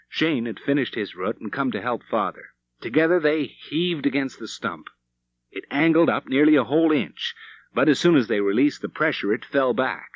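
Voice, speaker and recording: Animated male storyteller, dry sound. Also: mid-range voice, no room sound. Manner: dramatic, vivid delivery. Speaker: audiobook narrator. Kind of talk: expressive storytelling narration of a Western novel. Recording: slightly dull, dry studio recording.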